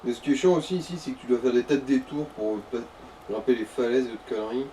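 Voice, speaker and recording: A man's voice: medium pitch, fast talker, mellow. A man chatting casually as he guides a friend through a video game, dry and slangy. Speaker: co-commentator guiding the player. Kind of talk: casual gaming chat. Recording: slightly distant mic, faint background noise.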